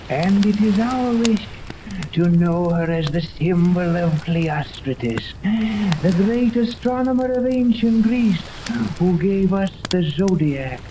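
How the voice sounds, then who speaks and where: A noisy old radio serial recording carries a menacing woman villain. She speaks slowly in a low register, haughty and commanding, with formal diction. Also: animated. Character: imperious, menacing woman villain with a paralyzing ray gun. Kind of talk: 1930s radio serial acting.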